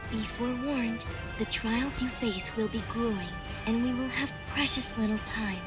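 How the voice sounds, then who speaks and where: A woman's voice: fairly high pitch, normal speed, natural voice. A woman voicing a solemn, calculating young female artificial intelligence in a video game, formal and detached. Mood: solemn, measured. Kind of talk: video game cutscene acting. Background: music.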